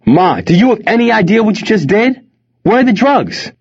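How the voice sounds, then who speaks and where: Man voicing an angry teenage son, high and strained. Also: sing-song, normal speed, husky, full character voice. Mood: furious. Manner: loud, indignant demanding. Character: angry, panicked teenage son confronting his mother. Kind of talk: audiobook character voice. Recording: dull, band-limited audio.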